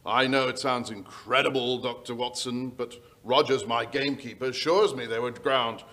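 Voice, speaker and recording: Male actor as anxious country squire for radio play, medium pitch, earnest, formal, slightly flustered. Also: fairly even intonation, normal speed, fairly bright tone, close to an everyday voice. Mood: worried. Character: worried English country baronet, lord of the manor. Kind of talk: old-time radio drama acting. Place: small indoor stage before an audience. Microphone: vintage-style stand microphone.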